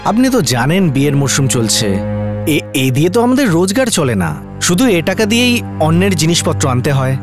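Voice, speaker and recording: An insistent tradesman in a TV serial, haggling for his money. His voice sits fairly high and moves in lively swings, with music clearly playing behind. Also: normal speed. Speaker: actor in a TV serial. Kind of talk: TV serial drama acting.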